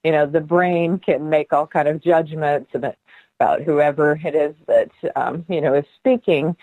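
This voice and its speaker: A woman's voice: low-pitched, no room sound, phone-quality sound. Earnest, laughing middle-aged woman guest. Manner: earnest, laughs often, rushes through stories.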